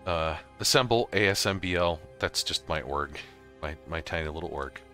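A man narrating a casual gaming software tutorial, explaining things informally step by step. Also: a bass voice, normal speed, faint music behind. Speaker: host of a gaming tutorial series. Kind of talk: casual software tutorial narration over slides and screen capture.

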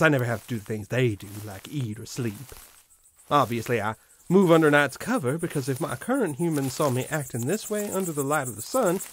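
A man's voice: mid-range voice, breathy edge. A man playing a proud, mischievous living scarecrow who guards a farm, musing in a theatrical, pompous way in an audio drama monologue. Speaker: voice actor.

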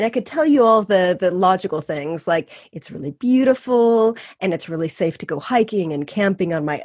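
A woman's voice: medium pitch, darkish tone, husky, no room sound, clean studio sound. A woman from California, a podcast guest, talking in a casual, enthusiastic way and laughing often. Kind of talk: casual interview talk.

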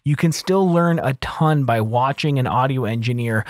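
A man's voice: medium pitch, moderate pace, no echo. A man in his thirties giving a casual tutorial as an audio engineer, close on a broadcast mic. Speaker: audio engineer host of a mixing series. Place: small home studio room, indoors. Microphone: close large broadcast mic on an arm.

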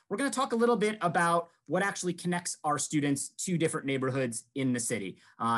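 A man in his thirties from New York hosting an admissions webinar over a video call, talking in a friendly way with many fillers and restarts. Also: fairly high pitch. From Rochester, New York. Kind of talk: scripted-free webinar presentation. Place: small room indoors. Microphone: video call headset.

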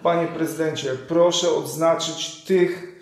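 A man's voice: a little high, fairly even intonation, unhurried. A man in his thirties, a journalist earnestly interviewing a guest, with many fillers and restarts. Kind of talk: political interview. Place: room with leather sofa, indoors. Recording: echoey room.

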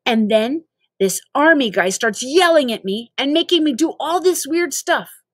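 Woman playing panicky kid, storybook, raised high pitch, indignant, complaining, exasperated. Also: animated, measured pace, no room sound, studio quality. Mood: panicked, indignant. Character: panicky, exasperated young kid dragged into a game.

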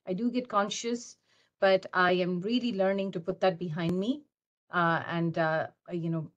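Woman moderating a panel from her office. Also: on the low side. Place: office on video call.

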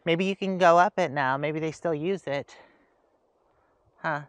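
A man's voice: a little high, measured pace, dry recording. A man hosting a casual travel vlog, chatting and thinking aloud as he drives, recorded inside a vehicle with road noise. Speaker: host.

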